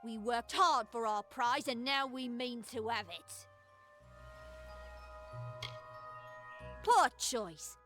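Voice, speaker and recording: A scornful outlaw swindler, a villain in a fantasy video game. Her voice sits fairly high, smug and mocking with cool menace. Music runs underneath. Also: expressive, unhurried, fairly bright tone. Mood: cold menace. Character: sly, scornful female con artist and outlaw.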